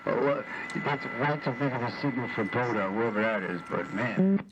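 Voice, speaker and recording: A man in a casual, teasing chat over shortwave ham radio, speaking a little haltingly like an operator among friends. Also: medium pitch. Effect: shortwave radio transmission. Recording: muffled, noisy shortwave radio reception. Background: radio static.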